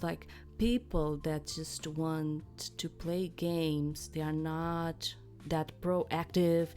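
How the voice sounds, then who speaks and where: Middle aged woman gamer rambling to viewers, kinda hesitant, really slow talker, bit of music behind. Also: deep voice, dark tone. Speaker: host of a gaming channel. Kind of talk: casual rambling chat to viewers.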